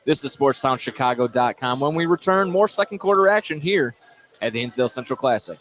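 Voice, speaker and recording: A man's voice: medium pitch, expressive, fairly quick, a bit bright, faint music behind. A man doing live play-by-play basketball commentary for radio, narrating in stop-start phrases and repeating words as he follows the action. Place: indoor basketball gym. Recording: clear gym noise with bouncing basketballs.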